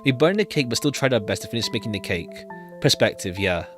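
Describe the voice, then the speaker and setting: A woman with an extremely low voice chats over clearly audible jazzy music. She streams a game in a casual, loose way, thinking aloud as she comments. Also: expressive, quick pace. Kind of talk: casual live gameplay commentary. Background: jazzy game background music.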